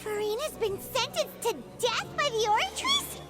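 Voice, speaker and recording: Fairy sidekick, game: squeaky, sky-high, animated, excitable and nosy, loud music behind. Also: female voice, moderate pace. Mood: shocked, indignant. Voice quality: squeaky, childlike. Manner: exclamatory, inquisitive. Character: tiny childlike excitable fairy companion, curious and outspoken. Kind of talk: cartoonish game voice acting.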